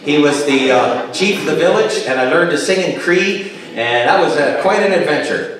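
A man's voice: fairly high pitch, lively intonation, moderate pace, a crowd in the background. A Canadian man in his late fifties preaching as a pastor, telling personal stories in a folksy, joking way. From Kitchener, Ontario, Canada. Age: late 50s. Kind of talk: sermon with personal testimony, anecdotal. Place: chapel, on stage at a pulpit. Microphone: stand mic over speakers, recorded by far camera. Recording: very echoey chapel, distant camera pickup.

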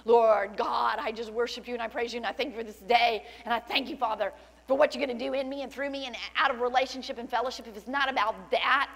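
A middle-aged woman pastor preaching a sermon with fervent praise and scripture reading, her voice slightly cracking from loudness. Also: on the high side, steady tone, quick pace. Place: large church stage indoors. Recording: long hall reverb, faint hum.

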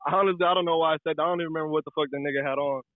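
A man's voice: a little high, steady tone, rapid-fire, no room sound, phone-quality sound. A young American man, a caller casually telling a personal story in slangy, profane language.

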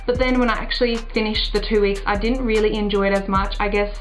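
A woman's voice: medium pitch, steady tone, quick pace, soft background music. A woman in her early twenties with an Australian accent, a native Serbo-Croatian speaker, chatting casually to camera as a vlogger. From Adelaide, Australia. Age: early 20s. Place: small furnished room at home, indoors.

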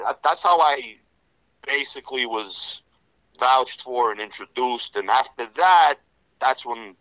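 Bright-voiced New York Italian-American man. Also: mid-range voice, no room sound, poor audio. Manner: rambling, heavy with "you know" fillers. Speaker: interview guest. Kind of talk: casual storytelling interview.

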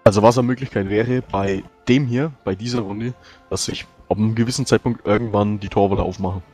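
Player, male, rapid delivery, low voice, quick restarts, faint music bed. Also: fairly even intonation, darkish tone. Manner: quick, restarts often, agreeable. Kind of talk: tabletop strategy discussion.

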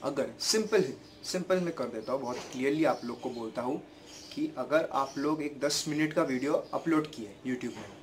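A man's voice: mid-range voice, moderate pace, a little hiss or hum. Young man with a sore throat vlogging. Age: young adult. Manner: earnest, repetitive, gestures a lot with his hands. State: sore throat, clearing his throat. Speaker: YouTuber giving channel-growth tips. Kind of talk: casual explanatory vlog to camera. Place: bedroom at home, indoors. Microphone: camera in front at desk distance.

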